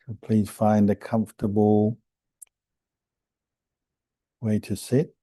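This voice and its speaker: A man guiding a meditation as a monk and teacher, speaking calmly and gently with unhurried pauses between phrases. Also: lowish voice, dry recording, studio quality. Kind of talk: guided meditation instruction.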